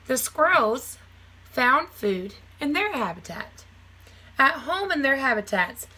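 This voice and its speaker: Bright-voiced woman reading aloud to children. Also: medium pitch, low-quality recording. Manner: simple, clearly phrased teacherly delivery addressed to young children. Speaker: teacher reading aloud to a class. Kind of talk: children's nonfiction read-aloud with casual asides.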